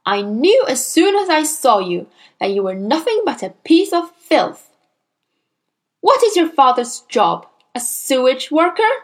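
Storybook villain: woman voicing a huge scornful headmistress, barking, slow and heavy. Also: fairly high pitch, very animated, a bit bright, heavily acted. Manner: bellowing, contemptuous, barking orders. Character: furious tyrannical woman headmistress, huge and bullying. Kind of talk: storybook reading.

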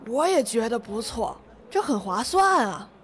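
A woman's voice: a little high, very animated, unhurried, slightly rough, full character voice. A woman playing a cheerful, chatty elderly community resident in a radio play. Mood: approving. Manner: chatty, agreeable. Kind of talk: amateur legal-education radio play.

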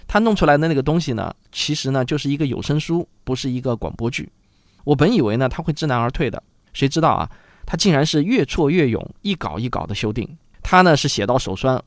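A man's voice: mid-range voice, no echo, clean studio sound. A man hosting a programme, talking to listeners in a conversational, explanatory way. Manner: conversational, explanatory, rhetorical questions. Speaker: host and producer. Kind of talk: chatty programme outro addressed to listeners.

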